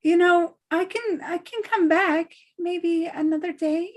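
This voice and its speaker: Woman acting a high-voiced, earnest young woman. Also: a little slow. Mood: concerned, hesitant. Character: earnest, polite, hesitant young woman patient. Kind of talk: acted script reading.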